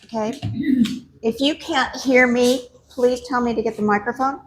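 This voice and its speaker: Quick-talking woman with a low voice. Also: steady tone, a little hiss or hum, rough recording. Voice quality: low speaking voice. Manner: quick, friendly, checks in with the audience. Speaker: master gardener presenter. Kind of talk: self-introduction to an audience.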